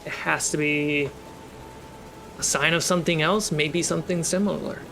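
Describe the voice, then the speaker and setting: A man working as game master, narrating a tabletop fantasy game with deliberate, dramatic storytelling pauses. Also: on the high side, steady tone, vocal fry, bright, forceful tone, slight background noise. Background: storm and ship sound effects.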